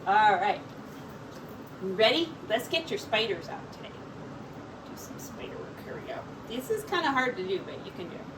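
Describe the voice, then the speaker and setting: A woman teacher reading a picture book aloud to young children in an expressive, encouraging way. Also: medium pitch, normal speed, long pauses. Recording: distant mic with clear background noise.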